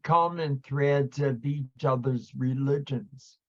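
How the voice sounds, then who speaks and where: Slow-talking man on a dull call. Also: medium pitch. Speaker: group participant. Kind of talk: casual group discussion. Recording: dull online call audio.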